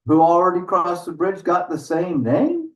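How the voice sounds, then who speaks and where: A man's voice: sits high, sing-song, normal speed, recorded from a distance, pitched above the performer's own voice. A man voicing a big, fat oldest billy goat, laid-back and dryly defiant. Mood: nonchalant, defiant. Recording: echoey room, off the mic.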